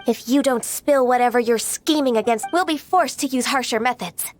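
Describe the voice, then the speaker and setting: A woman's voice: fairly high pitch, normal speed, slight background noise, close to an everyday voice. A woman playing a polite, solemn, dutiful young official in a video game, speaking formally and sternly. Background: game music.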